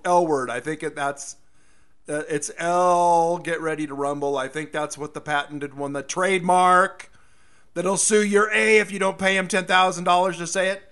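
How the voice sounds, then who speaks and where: High-pitched, slightly hoarse American radio host man. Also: fairly even intonation, moderate pace, fairly bright tone. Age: middle-aged. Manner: rambling, folksy. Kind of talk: casual solo radio show chat.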